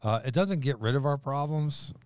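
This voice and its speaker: A man with a mid-range voice talks casually as a pastor, animated and quick to jump in. He reads scripture aloud with emphasis. The sound is dry and close. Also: mid-range voice, clear, resonant tone, no echo. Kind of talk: casual conversation with scripture reading.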